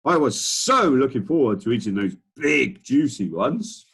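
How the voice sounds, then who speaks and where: A man's voice: mid-range voice, sing-song, measured pace, creaky, slightly rough, pitched above the performer's own voice, full character voice. A man voicing a pompous, short-tempered old duke who bellows and splutters. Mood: greedy demanding. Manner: blustering, shouting.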